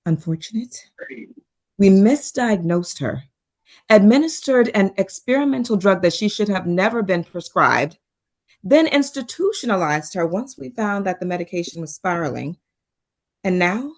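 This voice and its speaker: A woman playing a composed but strained doctor, quietly confrontational, in a table read. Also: mid-range voice, animated, moderate pace, long pauses.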